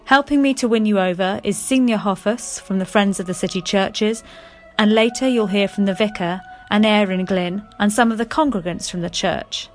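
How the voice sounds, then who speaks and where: A woman of medium pitch hosts a programme, introducing her guests and asking questions with curiosity. Soft music plays faintly under her voice. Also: moderate pace. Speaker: radio programme presenter. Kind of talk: presenting and interviewing.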